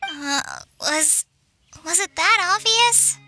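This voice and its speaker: A woman's voice: very high-pitched, animated, slow pace, bright, forceful tone, soft background music, heavily acted. A woman voicing a small, earnest, childlike girl companion in a video game. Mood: hesitant.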